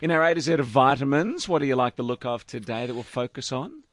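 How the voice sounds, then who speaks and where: A man with an Australian accent and a croaky voice, hosting a chatty radio health show and joking with his co-hosts. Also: mid-range voice, expressive, fast talker, no room sound. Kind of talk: casual chat. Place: radio studio.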